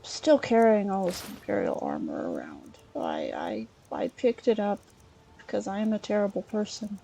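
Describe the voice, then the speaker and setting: A woman narrating a video game in character as an adventuring scholar, halting and thinking aloud as she goes. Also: medium pitch, wildly swooping pitch, vocal fry, warm tone, a little hiss or hum. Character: chatty Breton scholar woman, treasure-hunting adventurer. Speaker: let's play host role-playing a character.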